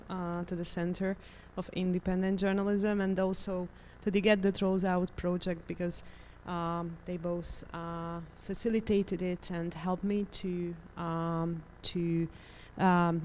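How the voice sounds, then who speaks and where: A woman's voice: on the low side, darkish tone, slight background noise. A woman from Hungary, speaking as a panelist on media literacy, hesitant with many ums and uhs. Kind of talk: panel discussion.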